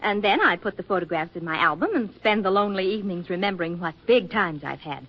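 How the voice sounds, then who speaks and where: A woman's voice: mid-range voice, expressive, quick pace. An actress playing a sly, wisecracking young woman photographer in a 1940s radio drama, with an arch, breezy, slangy delivery. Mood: gushing, mock-sweet. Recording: muffled vintage radio recording, loud music.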